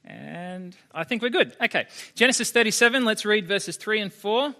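Bright-voiced Australian man preaching. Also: high-pitched, animated, normal speed. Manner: conversational, asks the congregation questions. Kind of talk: sermon.

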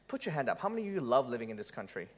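A man's voice: mid-range voice, big pitch swings, racing. A man who grew up in Malaysia, preaching a conversational sermon to a live audience, joking and putting questions to the room.

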